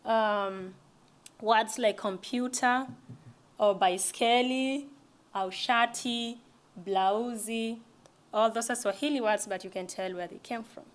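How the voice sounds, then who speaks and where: A woman's voice: fairly high pitch, takes it slowly. A young woman giving a casual library lecture, talking conversationally with frequent restarts. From East Africa. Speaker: language presenter.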